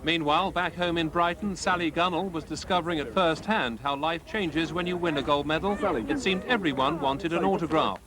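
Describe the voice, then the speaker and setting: A man's voice: on the high side, fairly quick, few pauses. A man with a British accent narrating a scripted television news report as the reporter. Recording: loud background noise of location footage.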